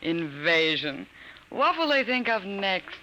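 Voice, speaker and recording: A woman's voice: mid-range voice, big pitch swings, slow pace, over loud music. An actress playing an indulgent, mildly amused suburban mother in a 1950s radio drama. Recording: very noisy old radio recording.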